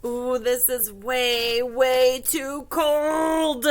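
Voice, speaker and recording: A woman's voice: sits high, level tone, drawn-out words. A woman voicing a fussy, curious girl in a children's storybook, stretching her words out in an exaggerated way.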